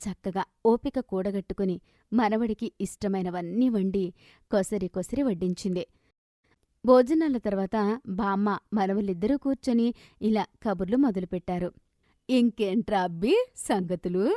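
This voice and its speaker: A woman's voice: mid-range voice, normal speed, warm tone, no echo, clean studio sound. A woman narrating a scripted moral story as a storyteller.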